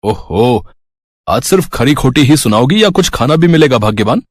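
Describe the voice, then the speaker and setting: Guy doing a jokey easygoing cartoon dad, lively voice, dry sound. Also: mid-range voice, moderate pace, no room sound. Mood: teasing. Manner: teasing, easygoing. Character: good-humored middle-aged father and husband.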